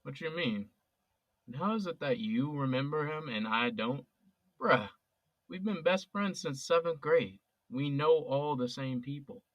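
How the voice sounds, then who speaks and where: A man's voice: a little high, expressive, a little slow, fairly bright tone, dry recording. An actor playing a sincere young male police officer who talks casually and earnestly with his best friend. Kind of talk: audio drama acting. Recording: dull, dry, lo-fi recording.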